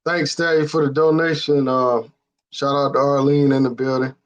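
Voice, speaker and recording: A man's voice: mid-range voice, flat delivery, moderate pace. A man hosting an interview, asking casual questions with some hesitation. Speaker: host. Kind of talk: livestream interview. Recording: lo-fi livestream audio.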